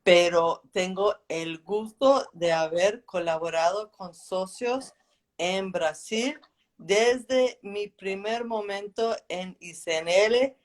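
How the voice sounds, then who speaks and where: A woman who is a native English speaker talks as a guest on a live interview. Her delivery is very slow and deliberate, with careful hesitations, at a medium pitch. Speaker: guest, legal advisor. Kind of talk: introducing herself in a live interview.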